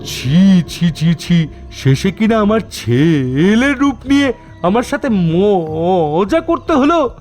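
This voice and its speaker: A man's voice: sits high, sing-song, takes it slowly, a little mellow, full character voice. An actor voicing an indignant male ghost who whines and scolds theatrically. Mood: scandalized. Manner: whining, theatrical reproach.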